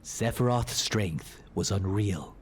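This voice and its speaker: A brooding young ex-soldier from a video game. He speaks in a lowish, subdued, hesitant voice with faint noise behind. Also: expressive, slow. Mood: reflective, subdued. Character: reserved, brooding young ex-soldier man telling his past. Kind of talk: video game character narration.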